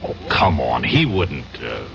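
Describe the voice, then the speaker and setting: A man's voice: rumbling low, big pitch swings, takes it slowly, creaky, background noise, exaggerated voice. An actor playing a skeptical, down-on-his-luck reporter, hesitant and wry, in a 1970s radio drama. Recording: dull old radio recording, faint music.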